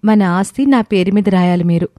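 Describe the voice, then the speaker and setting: A woman playing a thoughtful, protective young wife in an audio story, speaking in an earnest, measured way. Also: medium pitch, dark tone, no echo, natural voice. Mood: calm, earnest. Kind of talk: scripted audio story dialogue.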